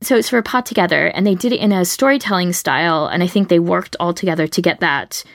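A woman podcast co-host with a slightly low, gravelly voice full of vocal fry. She explains her picks conversationally and casually. The sound is dry and close to the mic. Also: fast, no room sound. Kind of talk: casual explanatory chat, giving recommendations.

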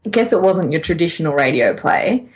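A woman's voice: on the low side, expressive, brisk. A woman co-hosting a podcast in casual conversation, candid, informal and a little self-deprecating. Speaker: podcast co-host.

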